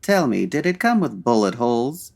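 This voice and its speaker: An actor playing a suave, unflappable gentleman detective in an old-time radio parody, speaking in a measured, formal, dryly amused way. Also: medium pitch, big pitch swings, creaky. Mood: probing.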